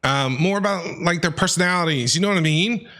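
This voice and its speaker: A man's voice: high-pitched, a little fast, pushed and bright. A man streaming a game, reacting with opinions, repeating himself and reading text aloud in a casual way. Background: faint game music.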